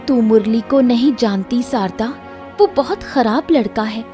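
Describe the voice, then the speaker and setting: A woman voicing a timid, gentle young elder sister in a story, anxious and pleading. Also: a little high, measured pace, faint music behind, lightly acted.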